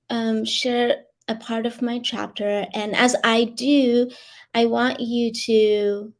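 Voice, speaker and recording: A raspy-voiced woman from Iran, sharing as a guest author over an online video call. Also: on the high side, darkish tone. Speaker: guest author and life coach. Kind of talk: personal sharing and reading aloud.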